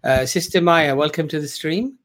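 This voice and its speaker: A man's voice: fairly high pitch, normal speed, a bit bright. Polite, hesitant male stream host. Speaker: host of a live call-in stream. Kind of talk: casual live moderating. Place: online stream.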